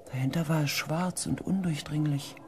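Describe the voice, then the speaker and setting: A man playing a shaken, earnest expedition pilot in a science fiction radio play, with weighty, hushed dramatic delivery. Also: on the high side, fairly even intonation, moderate pace, slight background noise, lightly acted. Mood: hushed, tense.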